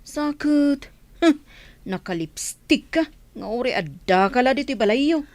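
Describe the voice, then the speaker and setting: A woman's voice: sits high, animated, unhurried, no echo. An actress playing a teasing young village woman who mocks her friend in a comedy radio play. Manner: teasing, mocking.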